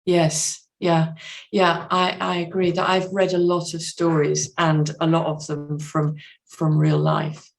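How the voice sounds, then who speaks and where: A woman guest on a podcast, discussing books casually in a measured, understated way, pausing to think. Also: sits low, little pitch movement, creaky.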